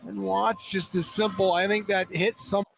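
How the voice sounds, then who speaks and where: High-voiced male hockey colour commentator. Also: level tone, moderate pace, vocal fry, noisy background. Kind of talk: sports replay analysis.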